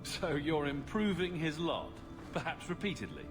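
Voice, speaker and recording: A man's voice: a little high, very animated, moderate pace. A man acting in a video game as a sardonic, wisecracking fantasy hero with dry sarcasm. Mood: sardonic, teasing. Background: music.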